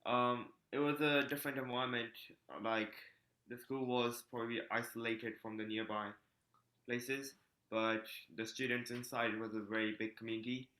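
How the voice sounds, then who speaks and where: A teenage male student from Japan, answering calmly and a little haltingly with ums. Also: medium pitch. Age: late teens. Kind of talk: casual answers on a video call. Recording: roomy, echoey laptop audio.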